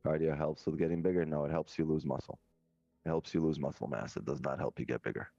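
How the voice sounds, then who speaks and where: Cocky male co-host, extremely low voice, dry dull lo-fi sound, lots of swearing. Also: steady tone, fast, gravelly, mellow, no echo. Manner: brash, cocky, heavy swearing. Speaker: co-host on a live trading stream. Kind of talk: casual banter.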